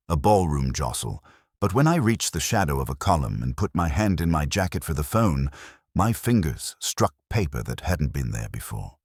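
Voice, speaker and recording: A man narrates scripted detective fiction in the first person. His voice is extremely deep. The recording is dry, with hardly any room sound. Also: breathy edge, no room sound. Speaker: audiobook narrator.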